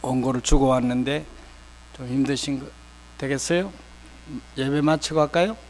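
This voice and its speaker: An older man from South Korea preaching a sermon with personal anecdotes, speaking conversationally in short, unhurried phrases. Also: medium pitch, a little hiss or hum.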